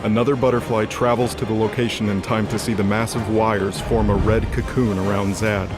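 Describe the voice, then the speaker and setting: A low-voiced man narrates a scripted fantasy drama. His dramatic storytelling sits over loud background music. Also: level tone, fairly quick. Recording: music under the voice.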